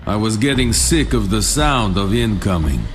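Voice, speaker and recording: Sardonic low-voiced battle-scarred soldier played by an actor. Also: male voice, animated, moderate pace, music in the background, close to an everyday voice. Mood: wry recounting. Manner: dry, sardonic. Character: sardonic, battle-scarred enemy-turned-soldier, male, grimly unbothered. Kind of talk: video game cutscene acting and narration.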